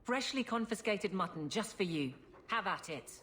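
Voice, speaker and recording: An actress playing a gruff, dutiful guard captain in a fantasy video game. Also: female voice, mid-range voice, animated, moderate pace, heavy background noise, lightly acted. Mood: fond, wry.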